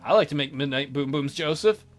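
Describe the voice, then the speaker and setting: A man's voice: on the high side, brisk, soft background music. A man reading a visual novel aloud as a let's player, adding sardonic asides.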